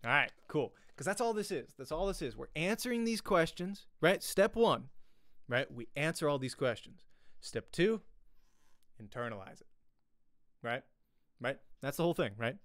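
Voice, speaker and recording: A man, teaching an informal acting lesson in an animated, conversational way, often checking in with "right?". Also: a little high, moderate pace, pauses often, no echo, studio quality. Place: small home room, indoors. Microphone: close desk microphone, headset on.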